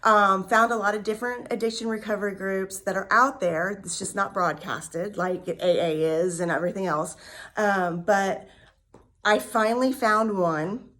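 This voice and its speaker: A woman's voice: medium pitch, moderate pace, a bit bright. A woman in her fifties telling her personal story in a candid, talkative way, with many ums and repeated words. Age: 50s. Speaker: vlogger sharing a recovery story. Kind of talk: casual personal testimony.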